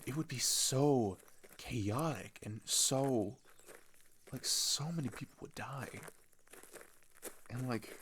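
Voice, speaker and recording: Young guy playing teen dude, rambly and exasperated, earnest casual venting, middle pitch, bit of background noise. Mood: worried, earnest. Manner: rambling, exasperated, hesitant restarts and filler words. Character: earnest, frustrated teenage male migrant venting about politics. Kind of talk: ASMR roleplay audio drama, casual rambling monologue.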